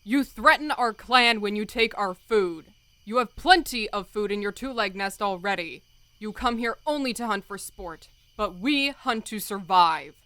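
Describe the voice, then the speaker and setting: A woman's voice: mid-range voice, pushed and bright, dry recording. Woman playing a stern, dignified cat clan leader. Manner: measured, solemn, commanding. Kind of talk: audiobook fandub acting.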